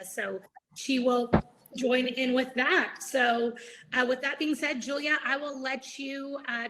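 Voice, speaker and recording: A woman with a bright, clear, fairly high voice moderates a panel over a video call. She chats along loosely, with plenty of ums and uhs. A faint hiss sits under her voice. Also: poor audio. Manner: chatty, frequent filler words.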